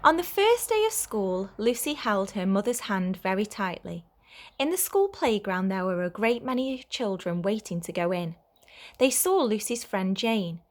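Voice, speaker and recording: A woman reads a children's storybook aloud. Her voice sits at a middle pitch, and she tells the tale clearly and expressively, like a teacher at story time with young children. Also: big pitch swings, normal speed.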